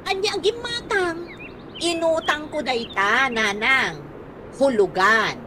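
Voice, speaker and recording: An actress playing a suspicious, nagging wife who questions incredulously in a radio comedy drama. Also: female voice, sits high, animated, takes it slowly, sharp tone, a little hiss or hum, heavily acted.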